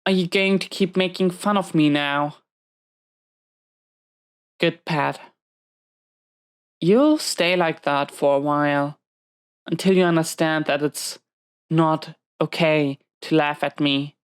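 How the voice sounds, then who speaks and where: Low-voiced, unhurried dominant female inmate, acted by a woman. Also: clear, resonant tone. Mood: hurt, stern. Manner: commanding, clipped orders with hesitant confessions. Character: dominant, guarded female prison inmate, stern but secretly tender. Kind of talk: intimate roleplay audio drama.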